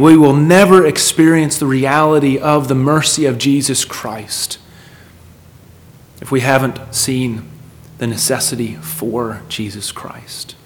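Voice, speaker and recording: A man preaching a sermon in an earnest, emphatic manner, repeating phrases for weight and putting questions to his listeners. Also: on the high side.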